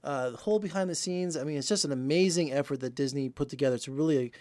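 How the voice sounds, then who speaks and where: A man's voice: a little high, fast, warm tone. A man giving casual film reviews in an enthusiastic, emphatic way.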